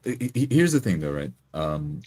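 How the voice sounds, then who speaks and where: A man's voice: very deep voice, sing-song, moderate pace, warm tone, slightly rough. A man in a casual discussion between roleplay players, explaining his points insistently and checking for agreement.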